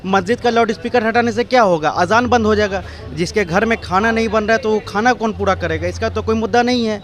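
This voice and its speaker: A man's voice: sits high, fast. A man in his thirties with a Bihari accent, giving his opinion in a street interview. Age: 30s. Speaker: local resident interviewed. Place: outdoor street. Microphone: handheld reporter microphone.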